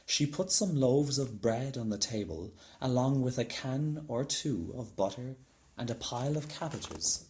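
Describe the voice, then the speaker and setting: Slow-paced man reading a children's story. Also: mid-range voice, a little mellow. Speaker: storybook reader. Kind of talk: expressive children's story narration.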